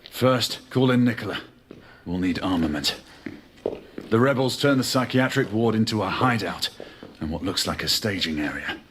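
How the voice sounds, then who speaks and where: An actor playing a stoic, caring Victorian knight in a video game, with a measured, formal delivery. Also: male voice, on the low side, expressive, a bit bright, slight background noise. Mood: businesslike, concerned. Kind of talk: dramatic game cutscene acting.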